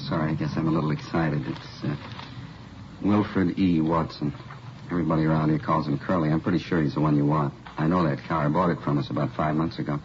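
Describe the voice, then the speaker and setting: An excitable, self-assured American used car dealer talks fast and low, insisting on his point. The old radio drama recording is dull and noisy. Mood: excited, confident. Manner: hurried, insistent. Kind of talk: 1950s radio acting.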